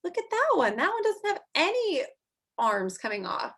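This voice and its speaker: A woman in her thirties reading aloud and explaining to young children in an animated, expressive way, like a teacher. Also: very high-pitched, normal speed. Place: small furnished room at home, indoors.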